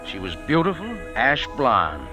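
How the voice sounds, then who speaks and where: Casting: wry noir cafe owner, skeptical and sardonic, mid-pitched with lively swings, vintage radio broadcast with music. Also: male voice, a little slow. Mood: intrigued narration. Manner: sardonic, clipped, offhand. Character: wry, skeptical, hard-boiled male cafe owner in Cairo. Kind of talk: 1940s-50s radio drama, first-person noir narration.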